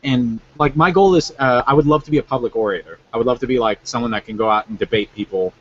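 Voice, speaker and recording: A man's voice: medium pitch, fast, a bit bright. A man in his mid-twenties in a casual group chat, talking bluntly and swearing casually. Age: 20s. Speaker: podcast guest. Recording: dull lo-fi sound with faint noise.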